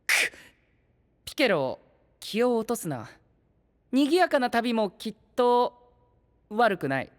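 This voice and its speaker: Princely knight with animated intonation. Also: male voice, extremely high pitch, slow pace, long pauses, dry recording, clean studio sound, heavily acted. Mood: consoling. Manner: formal, princely phrasing. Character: dignified, composed young gentleman knight. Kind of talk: anime voice drama. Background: faint background music.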